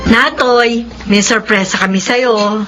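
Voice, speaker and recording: An actress playing a kindly, excitable Filipina canteen woman in a radio drama. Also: on the high side, takes it slowly, loud music behind the voice, rough recording. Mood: excited, teasing.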